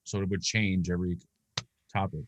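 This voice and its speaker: A man's voice: deep voice, level tone, normal speed, a little hiss or hum. A man co-hosting a live online show, trading teasing jokes in casual banter. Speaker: co-host of a live vape show. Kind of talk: casual live-stream chat.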